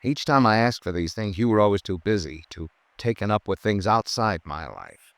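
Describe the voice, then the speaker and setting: An actor playing a resolute, embittered husband, deliberate and reproachful, in 1950s radio melodrama style. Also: male voice, fairly low pitch, big pitch swings, fairly bright tone, dry recording. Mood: bitter. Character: bitter, resolute husband ending his marriage.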